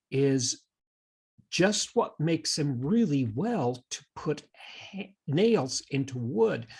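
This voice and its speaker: Male podcast co-host, husky, medium pitch, thoughtful teacherly explainer in relaxed conversation.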